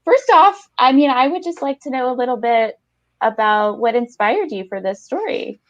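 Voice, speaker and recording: A woman in her thirties hosting a casual interview over a video call, gushing and a little halting. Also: on the high side, expressive, highs cut off. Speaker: podcast host. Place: indoor room at home, video call. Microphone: video call, headset.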